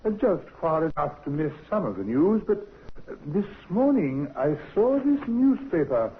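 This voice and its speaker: A stammering, nervous shop chemist. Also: male voice, a little high, sing-song, measured pace, slight background noise. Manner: hesitant, full of uhs, stammers. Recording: tinny vintage radio broadcast.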